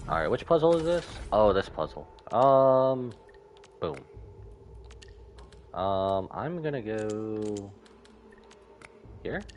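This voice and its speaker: A man's voice: on the low side, sing-song, slow pace, long pauses, a little mellow, a little hiss or hum. A man streaming a video game, commenting casually and musing aloud with some self-deprecation. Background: game music.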